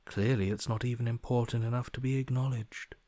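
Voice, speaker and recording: Male actor as cold detached game character, hushed, breathy, deadpan, bored, drawn-out sarcasm, dry close sound. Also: mid-range voice, dry recording, natural voice. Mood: dismissive. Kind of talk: video game character acting.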